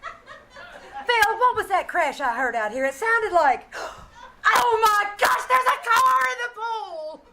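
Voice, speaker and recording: A woman playing a sharp, flustered, scolding wife in a 1940s-style radio sitcom, emphatic and theatrical. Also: extremely high pitch, very animated, slow pace, heavily acted. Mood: alarmed. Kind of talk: 1940s radio sitcom acting, live stage re-creation. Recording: live theater stage, faint noise.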